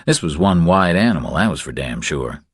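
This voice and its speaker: Deep-voiced male audiobook narrator, expressive. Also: normal speed, breathy edge, dry recording, studio quality. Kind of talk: scripted thriller narration.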